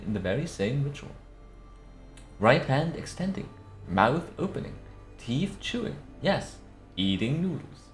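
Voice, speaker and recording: A man giving a theatrical scripted narration with measured, affected diction in a put-on accent. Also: medium pitch. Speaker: streamer reading aloud. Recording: roomy echo. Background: faint music.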